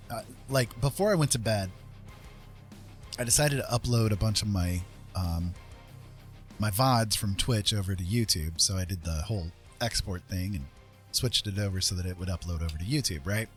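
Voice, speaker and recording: Male livestream host, lower register, folksy and rambling casual chat, light music underneath. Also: animated, normal speed. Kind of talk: casual livestream chat while making art.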